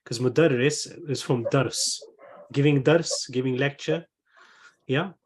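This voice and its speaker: A man on a video call calmly explaining two vocabulary words to a learner. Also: medium pitch, unhurried.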